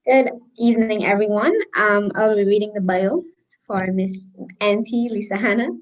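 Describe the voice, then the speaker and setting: A woman's voice: medium pitch, steady tone, normal speed, rough recording. A teenage young woman with a Barbadian accent, a student moderator reading a formal biography aloud. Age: teens, secondary school student.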